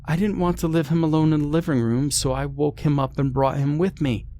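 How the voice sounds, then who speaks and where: A man's voice: fairly high pitch, fairly even intonation, fairly quick, dark tone, pitched above the performer's own voice, natural voice. A man voicing a frightened, earnest teenage daughter who pleads and exclaims in a horror story. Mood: anxious, defensive.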